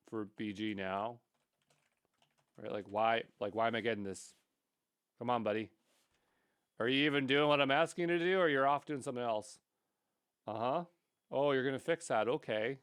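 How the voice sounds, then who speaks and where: A man's voice: medium pitch, a bit bright, clear, resonant tone, no room sound, studio quality. A man giving an unscripted coding tutorial, thinking aloud in a rambling way with mildly exasperated asides. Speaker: tutorial instructor.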